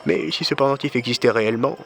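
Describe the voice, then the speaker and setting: A man's voice: medium pitch, fast talker, creaky, faint music behind, natural voice. A man playing a wise, stern old Athenian in a French audio drama, speaking like a sententious storyteller giving warnings. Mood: sage, dismissive.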